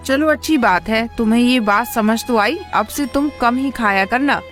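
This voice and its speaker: Sensible cartoon crow sister-in-law, played by a woman, fairly high voice, advising, soft music bed. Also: moderate pace, close to an everyday voice. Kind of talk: animated moral story dialogue.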